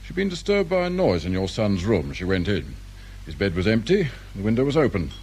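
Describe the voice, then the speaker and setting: An actor playing a calm, methodical British detective inspector in a radio drama, measured and politely persistent. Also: male voice, fairly low pitch, a little hiss or hum. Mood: composed, official.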